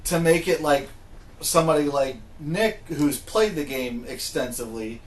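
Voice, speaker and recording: A man's voice: on the high side, normal speed. A man in a casual panel discussion, talking informally and arguing through examples. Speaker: podcast co-host. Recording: faint background noise.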